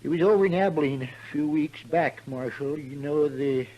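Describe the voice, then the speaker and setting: A man's voice: fairly high pitch, normal speed, muffled recording, natural voice. An actor playing a frail, tired old man who tells his tale in a folksy drawl, in a 1950s western radio drama. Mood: weary, confiding. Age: older. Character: weary old man, proud tall-tale teller, dying. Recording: vintage 1950s radio recording.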